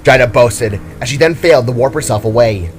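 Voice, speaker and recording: A man's voice: mid-range voice, brisk, a little hiss or hum. A man reading scripted fantasy action as a dramatic story narrator.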